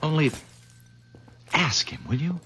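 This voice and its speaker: A stammering young visitor. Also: male voice, a little high, takes it slowly, bright, forceful tone, slightly rough, full character voice. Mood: earnest. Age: young adult. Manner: stammering, hesitant. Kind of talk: 1940s radio acting. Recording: lo-fi old radio broadcast.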